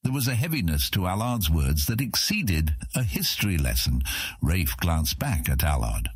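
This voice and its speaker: A man narrating a scripted fantasy audiobook as a measured, expressive storyteller. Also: low-pitched, breathy edge, no echo, clean studio sound.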